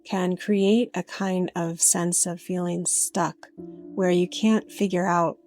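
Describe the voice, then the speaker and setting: Gentle, even-toned woman guiding meditation. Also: on the low side, faint music behind. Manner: gentle, unhurried, with frequent pauses. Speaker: meditation guide. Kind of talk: guided meditation narration.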